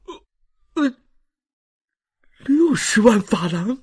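A man's voice: very high-pitched, very animated, very slow, darkish tone, husky, no echo, clean studio sound, voice pushed up, heavily acted. A gushing old grandfather, swooping wildly. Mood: astonished. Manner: effusive, dramatic sighs and exclamations. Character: doting, gushing, excitable old French grandfather.

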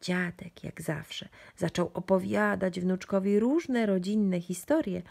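A woman's voice: medium pitch, slow pace, gravelly, darkish tone. A woman narrating a bedtime storybook expressively for young children.

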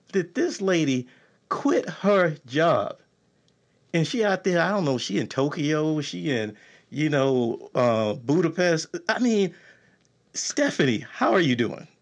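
A man hosting a casual podcast interview, enthusiastic and full of eager questions. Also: on the high side. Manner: enthusiastic, excitable, asks many questions. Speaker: podcast host.

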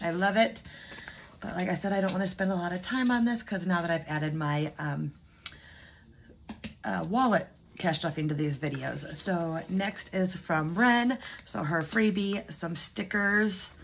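A woman's voice: lowish voice, slight background noise. A woman in her thirties chatting at home in a budgeting vlog, losing her voice, rambling with ums and restarts. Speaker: budgeting vlogger. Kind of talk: casual chat to camera.

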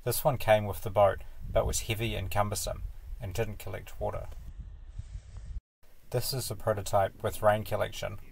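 A man narrating a sailing vlog in a calm, matter-of-fact voice-over, explaining a build step by step. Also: on the low side, gravelly, slight background noise, low-quality recording. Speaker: sailing vlogger.

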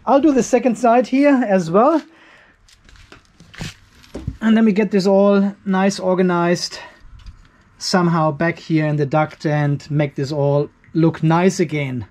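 A man's voice: high-pitched, slow, long pauses, darkish tone. A man in his fifties narrating a hands-on DIY job in a casual, informal way, thinking aloud as he works. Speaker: DIY video host. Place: garage workshop with metal roof. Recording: some background noise in workshop.

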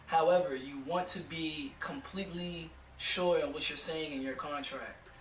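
A hoarse young man in his twenties giving blunt, profane advice to music producers in a casual home studio vlog. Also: fairly high pitch, fairly even intonation, moderate pace, a bit bright, roomy sound, recorded from a distance, a little hiss or hum, rough recording. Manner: blunt, swears freely, lots of "you know what I'm saying".

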